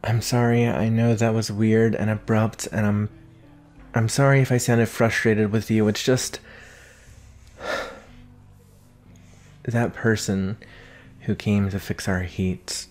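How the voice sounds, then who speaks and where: A young man playing an anxious, protective vampire boyfriend in an intimate roleplay audio drama, speaking in an earnest, halting way with sighs. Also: fairly low pitch, flat delivery, a little mellow, soft background music. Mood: apologetic, nervous. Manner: halting, sighing, earnest delivery.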